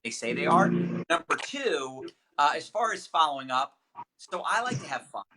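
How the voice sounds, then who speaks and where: A man's voice: fairly high pitch, very animated, normal speed, sharp tone, slight background noise. A man giving chatty, upbeat coaching tips as the guest of a casual livestream interview, with many fillers. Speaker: network marketing trainer, guest.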